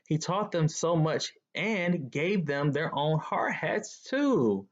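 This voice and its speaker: A man's voice: fairly high pitch, big pitch swings, slow. Man reading a children's story, dull recording. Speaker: guest storybook reader. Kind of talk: children's picture book read-aloud. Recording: dull, lo-fi sound.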